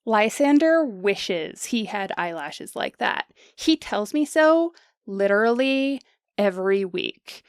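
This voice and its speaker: A woman's voice: medium pitch, expressive, slow, smooth, no echo, clean studio sound. A woman playing a confident, pushy young beauty influencer with a gushing, theatrical manner in a modern comedic audio drama. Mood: gushing, flattering.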